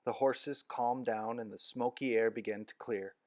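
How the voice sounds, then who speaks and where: Man, children's book read-aloud, expressive storytelling, dry close sound. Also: mid-range voice, moderate pace, no room sound. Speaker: narrator.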